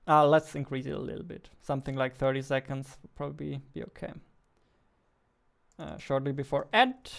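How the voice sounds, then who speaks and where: A man in his twenties gives casual game development commentary as a non-native English speaker. His voice is smooth and a little high, and he takes his time as he thinks aloud. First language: not English. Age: 20s. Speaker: indie game developer, streamer. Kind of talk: casual live coding commentary. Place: small indoor room at a computer.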